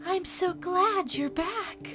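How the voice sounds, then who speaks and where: Woman voicing a high eerie ghost demon girl. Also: slow pace, over music. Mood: eerie polite.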